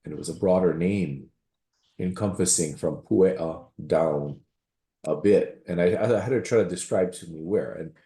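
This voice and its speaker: Older pastor, low mellow voice, wanders off track a lot, echoey room. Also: male voice, a little slow. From Waianae, Hawaii. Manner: rambling, digressive storytelling with many restarts. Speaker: pastor giving public comment. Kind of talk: public meeting comment.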